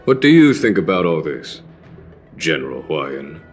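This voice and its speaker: Deep-voiced, calm male general. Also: measured pace, soft background music, heavily acted. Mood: calm, deliberate. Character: calm, courteous, reassuring male general in command. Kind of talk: English game voice acting.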